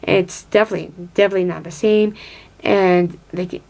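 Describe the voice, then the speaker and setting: A woman's voice: fairly low pitch, steady tone, moderate pace, vocal fry, a little mellow. Chatty young American woman, rambling. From upstate New York, United States. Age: 20s. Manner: rambling, many fillers and restarts; reads from notes, looking down. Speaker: vlogger. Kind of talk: casual talk to camera.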